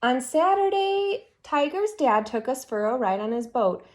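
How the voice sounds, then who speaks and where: A woman reading a children's picture book aloud expressively, as a teacher who pauses to put questions to young listeners. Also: high-pitched, big pitch swings, darkish tone.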